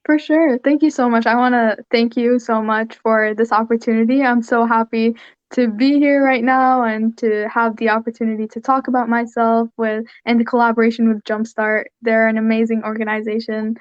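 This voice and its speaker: A woman's voice: a little high, little pitch movement, moderate pace, no room sound, highs cut off. A teenage girl from Syria, a young artist and interview guest, telling her story earnestly over a video call. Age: teens. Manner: earnest, soft-spoken storytelling with frequent "like". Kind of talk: online interview.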